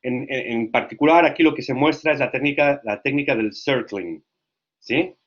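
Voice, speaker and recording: A man giving a lecture to language teachers, lively and often checking that listeners follow. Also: mid-range voice, normal speed, highs cut off. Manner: lively, asks frequent check questions.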